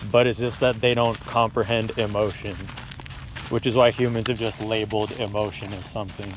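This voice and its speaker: A man's voice: lowish voice, flat delivery, a little fast, background noise. A young man in casual philosophical chat on a walk, rambling and laid-back, swearing now and then. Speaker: friend recording the talk. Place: outdoors on a walk.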